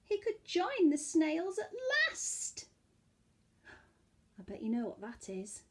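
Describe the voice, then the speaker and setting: A woman reading a storybook to young children as a teacher would, expressively, with hushed, chatty asides to her listeners. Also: sits high, big pitch swings, slow pace.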